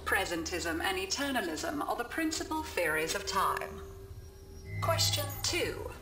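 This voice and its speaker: An unhurried female teaching program from a sci-fi game, formal and measured. The voice echoes over clear background music. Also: medium pitch, big pitch swings. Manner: measured, instructive. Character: calm female computerised lesson narrator. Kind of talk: scripted educational narration.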